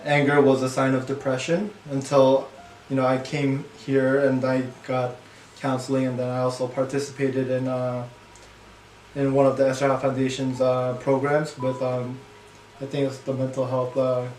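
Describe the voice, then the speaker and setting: Soft-spoken young man, flat and halting. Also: mid-range voice, roomy sound, far from the mic, a little hiss or hum, low-quality recording. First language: Korean is his second language; English first. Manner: halting, many fillers, speaks softly about hard memories. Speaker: guest. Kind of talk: candid personal interview.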